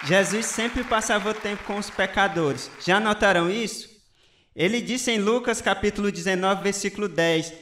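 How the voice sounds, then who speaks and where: Earnest evangelist preaching, pretty high male voice, teachy, radio drama sermon. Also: a bit bright. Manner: didactic, earnest.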